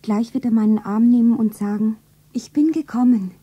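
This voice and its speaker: Eine Schauspielerin spielt in einem Hörspiel eine junge, naive Verkäuferin, zärtlich und sentimental verliebt, mit weicher Stimme. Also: female voice, on the high side, steady tone, moderate pace, mellow, husky, lo-fi sound. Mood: dreamy, hopeful. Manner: naive, sentimental, self-narrating. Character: slender young shopgirl, tender, wistfully devoted lover. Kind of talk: German radio play acting.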